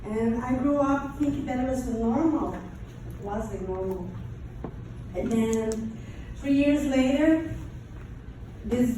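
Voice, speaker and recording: Echoey, halting Brazilian woman, high voice. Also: warm tone. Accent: Brazilian Portuguese. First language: Portuguese. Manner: halting, with non-native English phrasing. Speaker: survivor giving testimony. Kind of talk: personal testimony to an audience. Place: large echoey room, before an audience. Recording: very echoey room, distant mic, faint noise.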